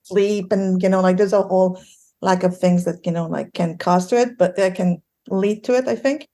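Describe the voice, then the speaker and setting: A woman in her fifties from Eastern Quebec with a Québécois French accent, a guest telling her story in a relaxed interview, laughing often and full of fillers and restarts. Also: on the low side, normal speed. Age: 50s. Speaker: guest, ADHD coach and sleep expert. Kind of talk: casual podcast interview.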